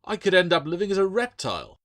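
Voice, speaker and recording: Give the voice of a defiant orphan lad in a sea-fantasy audiobook, acted by a man. He sounds pitched up from a narrator's tone, bitter and accusing, full of suspicion and sarcasm. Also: sing-song, fast, fairly bright tone. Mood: indignant. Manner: indignant, accusing.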